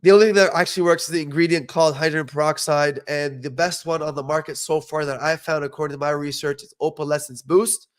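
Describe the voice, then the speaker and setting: A man's voice: on the high side, steady tone, quick pace, few pauses. A young man who studied dentistry, hosting a casual podcast and giving blunt, opinionated advice with frequent "okay?" check-ins. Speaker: podcast host, dental professional. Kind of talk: casual educational podcast talk.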